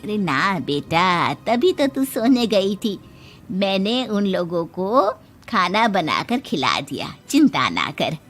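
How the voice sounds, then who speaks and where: A woman's voice: medium pitch, very animated, slow pace, a bit bright, faint music behind, exaggerated voice. A woman voicing a mild, caring older mother-in-law in a family moral story, coaxing and motherly. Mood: reassuring.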